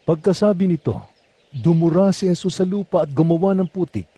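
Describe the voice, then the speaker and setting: Mellow, fairly high-voiced male narrator. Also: brisk, breathy edge, no room sound. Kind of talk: scripted scripture narration.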